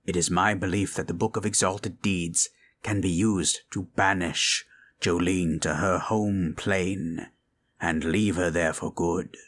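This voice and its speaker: A man voicing a grave dark sorcerer turned to good, solemn, measured and commanding, in a fantasy ASMR roleplay. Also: sits low, steady tone. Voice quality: husky.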